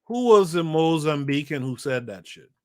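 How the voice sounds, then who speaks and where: A man's voice: a little high, expressive, normal speed. Emphatic man reading aloud on a livestream. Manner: emphatic, repeats phrases for effect; talks to a live chat audience. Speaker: podcast host. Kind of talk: reading a book aloud with live commentary.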